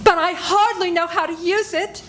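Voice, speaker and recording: A woman's voice: very high voice, fairly even intonation, normal speed, fairly bright tone, slight background noise. An older woman playing a terrified woman who hides a guilty secret, talking frantically and pleading in an old-time radio drama performed live. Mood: anxious. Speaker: senior theater performer.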